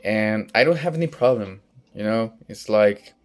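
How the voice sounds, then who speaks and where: A young man from northern Mexico, a native Spanish speaker with a Mexican accent, talking casually about himself in English and often pausing to search for words. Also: fairly low pitch, animated. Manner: hesitant, searching for words, frequent restarts. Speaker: vlogger practicing English. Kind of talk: casual personal monologue. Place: outdoors in sunlight.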